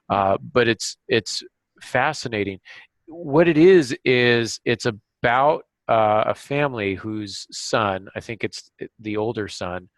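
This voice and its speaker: A man hosting a writing podcast, explaining things casually in a halting way and often correcting himself. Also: lowish voice, no echo, poor audio. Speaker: podcast host and novelist. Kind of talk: casual craft discussion with examples.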